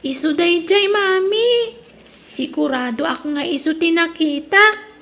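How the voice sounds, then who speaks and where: A woman's voice: extremely high pitch, expressive, measured pace, creaky, a bit bright, exaggerated voice. A woman acting an innocent young child who misses an absent parent, in an Ilocano drama with an Ilocano accent, speaking in a childlike, questioning way. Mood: earnest, questioning.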